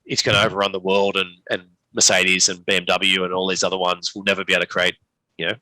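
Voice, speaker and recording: A man with a lowish, bright voice talks through market analysis as an interview guest. His delivery is unscripted and halting, with repeated words and restarts, and the sound is close and dry. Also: flat delivery, dry recording, poor audio. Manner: halting, repetitive phrasing with many restarts. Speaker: investment manager, interview guest. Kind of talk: unscripted market commentary in a live Q&A.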